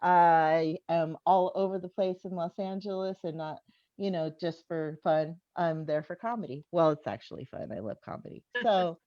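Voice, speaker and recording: A woman's voice: fairly low pitch, fairly even intonation, normal speed. A woman in her fifties performing stand-up comedy, talking conversationally to the audience and often chuckling at her own jokes. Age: 50s. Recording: dull, echoey live venue recording.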